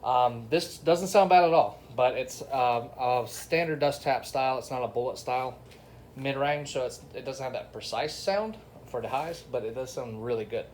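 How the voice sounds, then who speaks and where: A man's voice: mid-range voice, normal speed, darkish tone, a little hiss or hum. A man giving a casual, unscripted product review, talking informally to his viewers. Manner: informal, addresses viewers as y'all and guys.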